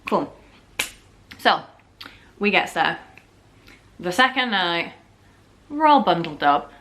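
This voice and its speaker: A young woman from the north of England talks straight to her camera at home, joking her way through a story. Her voice is slightly scratchy from a sore throat and sits a touch lower than most. She talks loosely and casually, full of fillers. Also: big pitch swings, takes it slowly, a bit bright. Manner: chatty, jokey, full of filler "like"s. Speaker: vlogger.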